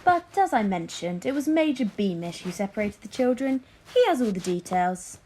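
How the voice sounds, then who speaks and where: A woman's voice: mid-range voice, expressive, moderate pace, background noise, natural voice. A woman voicing a scheming male lord in a storybook, with a formal, falsely deferential and oily manner. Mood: sly, insinuating. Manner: oily, feigned concern, formal. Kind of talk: children's storybook character voice.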